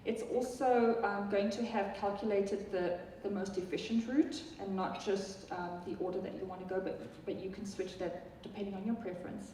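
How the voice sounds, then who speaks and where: A woman's voice: medium pitch, fairly even intonation, moderate pace, few pauses, mellow, slight background noise. A woman from South Africa with a South African accent, giving a chatty live product talk to an audience, with many ums and restarts. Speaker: software product presenter. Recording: echoey hall from far off.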